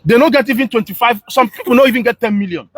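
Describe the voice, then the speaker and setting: A man's voice: very high voice, fast, fairly bright tone, no room sound. A man with a Nigerian accent chatting casually and insistently, repeating himself to press his point. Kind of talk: casual, argumentative chat in Nigerian Pidgin and English.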